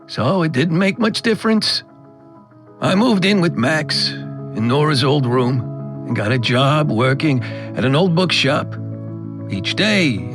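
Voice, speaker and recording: A man narrating a scripted audio drama in the first person with wistful, expressive storytelling. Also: on the high side.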